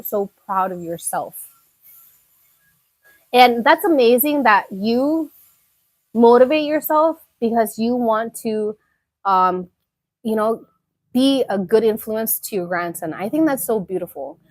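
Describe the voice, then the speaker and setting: A woman's voice: fairly high pitch, measured pace, dry recording, rough recording. A young woman from Thailand chatting casually on a live stream, answering her viewers' comments and often repeating herself. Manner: chatty, repeats words, many fillers. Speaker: YouTuber reading viewer chat.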